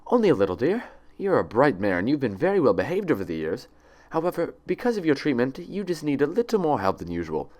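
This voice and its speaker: A man's voice: medium pitch, wildly swooping pitch, fast, darkish tone, voice pushed up. A man voicing a female pony nurse in an audiobook story, syrupy and condescending with a cold, authoritative edge. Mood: sweetly eager. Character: falsely cheerful, icily stern female pony nurse.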